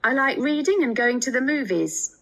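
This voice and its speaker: A woman's voice: high-pitched, animated, normal speed. An actress playing a friendly Japanese student in her twenties in a scripted language test dialogue, speaking carefully. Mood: open, chatty. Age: 20s. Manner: careful, spells things out clearly. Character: friendly 28-year-old Japanese woman student seeking a homestay.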